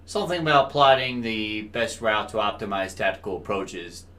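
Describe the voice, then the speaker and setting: An actor playing a casual, unhelpful older husband talking on the phone in an audio drama. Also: male voice, fairly low pitch, expressive, moderate pace, a bit bright. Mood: breezy, matter-of-fact. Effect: phone call.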